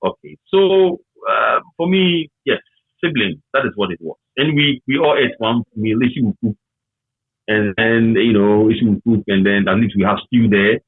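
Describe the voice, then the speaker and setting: Phone-like, husky Ghanaian man reminiscing. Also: on the low side, normal speed, no echo. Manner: emphatic, repeats phrases. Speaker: interview guest, former radio worker. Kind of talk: casual reminiscing conversation. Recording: tinny, narrow phone-like line.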